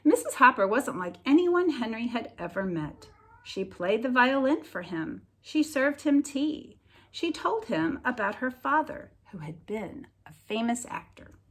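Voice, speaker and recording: A woman teacher reading a children's story aloud as a lesson, slightly husky, enunciating deliberately with expressive storybook emphasis. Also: fairly high pitch.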